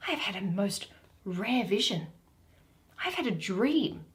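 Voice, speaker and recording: A woman's voice: mid-range voice, sing-song, a little slow, voice pushed down. A woman reading Shakespeare, voicing a bumbling, pompous weaver man who muses theatrically in amazement after waking. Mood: amazed, musing. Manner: theatrical, exclamatory, musing.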